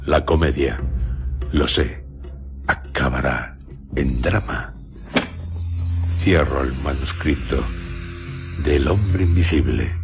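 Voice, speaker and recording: A man with an extremely deep voice hosts and narrates a radio drama. He takes his time, placing short literary phrases with deliberate, suspenseful pauses. Faint music plays behind him.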